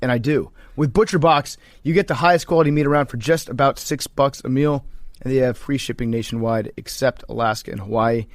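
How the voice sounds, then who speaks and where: A man's voice: medium pitch, a little fast, no echo. Dry-miked male podcast host. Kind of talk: casual banter and host-read ad.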